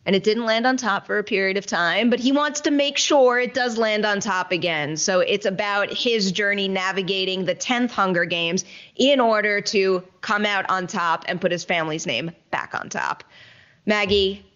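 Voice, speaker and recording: A woman's voice: medium pitch, normal speed, a bit bright. Woman film critic hosting, chatty n emphatic, really into it, audio a bit muffled. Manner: emphatic, enthusiastic, conversational. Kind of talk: casual film review chat.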